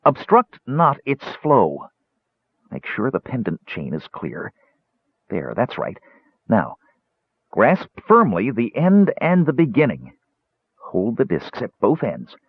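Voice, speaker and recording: A man reading aloud voicing a small, excitable male kender with a high, wavering voice. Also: slow pace, pauses often, breathy edge, no echo, full character voice. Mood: eager. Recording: tinny phone-like dry sound.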